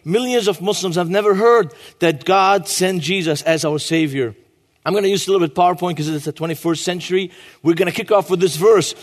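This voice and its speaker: Guest preacher, man in his 40s, high voice, long church reverb. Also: brisk. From Lebanon. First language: Arabic. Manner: emphatic, repeats key phrases. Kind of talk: sermon.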